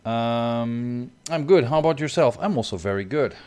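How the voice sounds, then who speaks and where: A man's voice: medium pitch, expressive, normal speed, a little mellow, clean tone. A young Portuguese man with a Portuguese accent, thinking aloud through a strategy game on stream and chatting with viewers. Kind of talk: live gameplay commentary.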